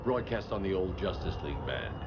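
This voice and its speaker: Very deep, grim vigilante commander. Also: male voice, lively intonation, a little fast, very noisy. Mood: grim, urgent. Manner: terse, commanding. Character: grim, commanding masked vigilante team leader. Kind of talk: dramatic video game cutscene acting. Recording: dull sound under very loud vehicle ambience.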